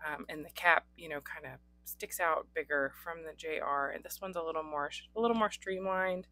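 A young adult woman with a hoarse voice, hosting a casual pen unboxing with frequent ums. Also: sits low, moderate pace, bright, forceful tone. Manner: chatty, frequent ums, occasional coughs. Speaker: pen reviewer. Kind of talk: unboxing chat. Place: indoors at a desk. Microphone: overhead camera on desk, hands only.

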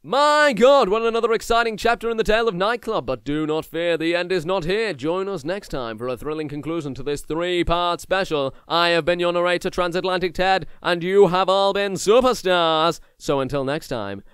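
A man's voice: high voice, normal speed, hardly any pauses, fairly bright tone, clear, resonant tone, no room sound, clean studio sound. A man playing a showy, bombastic narrator signing off an audio comedy drama like an announcer. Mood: grandly cheerful. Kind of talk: theatrical announcer sign-off.

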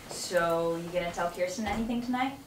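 A woman's voice: fairly low pitch, fairly quick. A young woman reading lines and chatting casually at a table read. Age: young adult. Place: home dining room. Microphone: far camera. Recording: distant mic, echoey room, faint noise.

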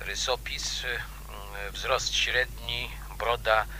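An actor playing a grave, matter-of-fact investigator in a radio mystery, reporting in terse, deliberate phrases. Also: male voice, mid-range voice, fairly even intonation, pushed and bright, slight background noise, exaggerated voice. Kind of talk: radio mystery drama acting.

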